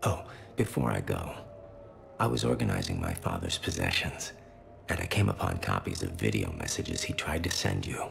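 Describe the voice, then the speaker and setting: An actor playing a grieving young alien son in a video game, solemn and courteous, with a gravelly voice and formal, halting phrasing. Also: male voice, huge pitch swings, a bit bright, soft background music, full character voice. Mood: courteous, subdued. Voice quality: gravelly, very low voice. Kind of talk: video game cutscene acting.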